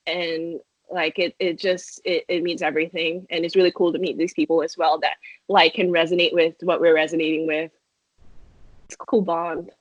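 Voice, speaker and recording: A woman's voice: lowish voice, level tone, moderate pace, no room sound. A young woman from Malaysia, a metal band singer, answering interview questions in a chatty way full of fillers and restarts. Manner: chatty, many fillers and restarts, laughs often. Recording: dull lo-fi sound, dry.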